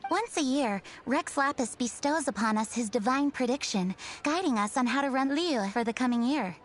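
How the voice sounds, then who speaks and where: A woman's voice: sits high, expressive, normal speed, faint music behind. A woman playing a proud, devout townswoman in a fantasy video game, speaking with assured, lecturing manner. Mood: informative. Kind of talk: scripted video game dialogue.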